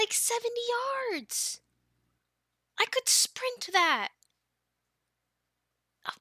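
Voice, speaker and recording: A high, bright young female voice , acted by a woman, plays a friend sharing spooky stories in an ASMR roleplay. She is expressive and playful, slipping toward breathy softness. The sound is close and very dry. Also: no room sound, full character voice. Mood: pouty, defensive. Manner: expressive, teasing, breaking into breathy near-whispers.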